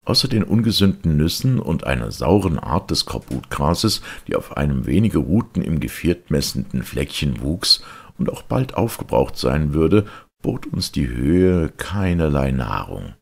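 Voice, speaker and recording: A man reading a literary audiobook as a narrator, with measured, dramatic storytelling delivery. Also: rumbling low, sing-song, gravelly, slightly rough. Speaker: audiobook reader.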